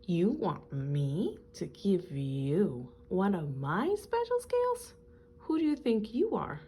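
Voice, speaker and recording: A woman's voice: medium pitch, very animated, slow pace, dark tone, breathy edge, exaggerated voice. Woman acting a vain, dramatically swooping male fish. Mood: indignant. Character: proud, vain, indignant beautiful male fish.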